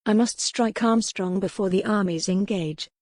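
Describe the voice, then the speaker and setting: A woman's voice: medium pitch, moderate pace, darkish tone, no room sound, studio quality, close to an everyday voice. A woman voicing a remorseful yet determined young noblewoman in a historical romance audiobook. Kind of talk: dramatic audiobook character reading.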